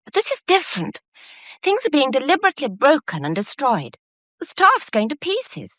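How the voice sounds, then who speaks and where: A woman's voice: high-pitched, wildly swooping pitch, normal speed, a bit bright, no echo, full character voice. An actress playing a worried, well-bred young English woman in a radio drama, earnest and polite. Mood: anxious, insistent. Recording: dull, muffled radio play recording.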